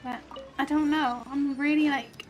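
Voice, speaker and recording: Female game streamer, slightly high voice, casual rambling commentary, light music underneath. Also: fairly even intonation, a little slow. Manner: chatty, rambling train of thought. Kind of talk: casual live gameplay commentary.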